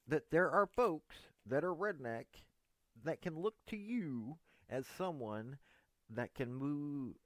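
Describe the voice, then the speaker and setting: A middle-pitched man in his fifties with an East Tennessee drawl, speaking in a folksy, rambling but earnest way. His voice sits close to the mic with a dry, dead sound and no room echo. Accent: Southern US, East Tennessee. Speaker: political commentator. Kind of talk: direct-address monologue to a politician.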